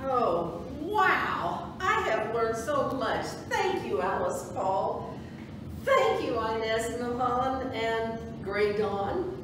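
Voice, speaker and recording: In a very echoey, noisy room, a woman performs an earnest modern researcher full of wonder. Her voice is high and slow, with halting, exclamatory delivery in an amateur play. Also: sing-song, distant mic. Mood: awed, grateful. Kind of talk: amateur reader's theatre.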